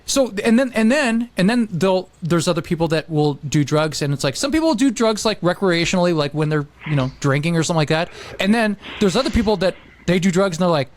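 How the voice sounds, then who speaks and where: A man's voice: high-pitched, animated, quick pace, a little hiss or hum. A man chatting casually as a podcast host, telling stories and acting out quotes as he goes.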